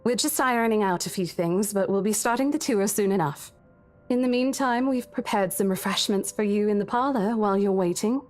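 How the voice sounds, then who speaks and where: A woman's voice: medium pitch, a little mellow, soft background music, natural voice. Woman voicing a firm, caring coworker. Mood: polite. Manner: firm, measured, protective. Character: stern, caring young woman coworker Rose. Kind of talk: visual novel reading.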